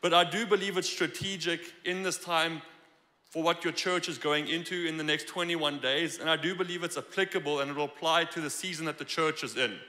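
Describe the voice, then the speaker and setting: A young man with a South African accent preaching a joking, animated sermon on a church stage into a handheld mic. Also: on the high side, brisk. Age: young adult. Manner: joking, animated, gestures freely while preaching. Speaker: guest preacher. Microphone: handheld microphone. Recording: long hall reverb.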